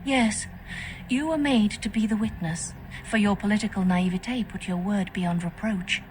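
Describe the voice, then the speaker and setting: A woman's voice: medium pitch, darkish tone, a little hiss or hum, natural voice, low-quality recording. An actress playing a composed, scheming female vampire faction leader in a video game, speaking formally. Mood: calm, calculating. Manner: formal, measured, stately. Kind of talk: video game dialogue acting. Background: music.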